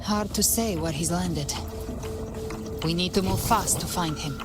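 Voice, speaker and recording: A woman playing a confident, defiant hunter touched by dark power in a video game, speaking with cool deliberation. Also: on the low side, unhurried, lightly acted. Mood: focused, serious. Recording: loud music behind the voice.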